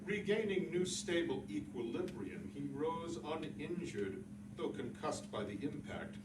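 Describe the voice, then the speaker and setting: A man's voice: a little high, expressive, unhurried. A man giving a dramatic reading of literary prose. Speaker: literary reader. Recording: roomy echo, distant mic, clear noise.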